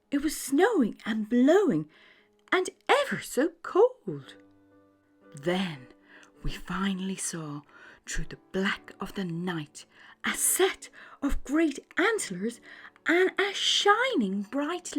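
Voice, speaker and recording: A woman reading a children's bedtime story aloud, expressive and often dropping into a hushed, breathy delivery. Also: on the high side. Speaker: storybook narrator.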